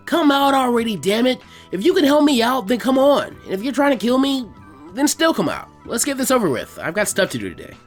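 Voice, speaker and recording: A man's voice: extremely high pitch, very animated, a little fast, faint music behind, voice pushed up. A man voicing a brash, restless teenage boy ninja, indignant and impatient, in an anime fan story. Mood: exasperated, yelling.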